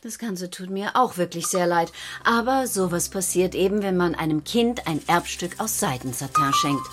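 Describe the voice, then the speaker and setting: A woman's voice: on the low side, moderate pace, a bit bright, soft background music, close to an everyday voice. An actress playing a practical, easily exasperated mother in a German children's radio play.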